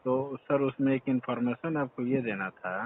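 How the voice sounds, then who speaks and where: A man's voice: medium pitch, moderate pace, slight background noise. Deferential man on a tinny phone line. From Bihar, India. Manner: deferential, says sir constantly, earnest and urgent. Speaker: caller reporting a complaint.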